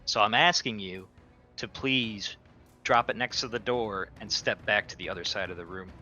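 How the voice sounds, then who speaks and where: Low, bright-voiced man playing a tabletop game. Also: moderate pace, muffled recording. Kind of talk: casual in-character game chat. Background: background music.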